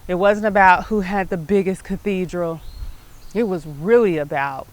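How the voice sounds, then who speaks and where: A middle-aged American woman hosting her show, telling personal stories about life and faith in a conversational, emphatic way. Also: on the low side. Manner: conversational, emphatic, often checking in with "right?". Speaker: show host.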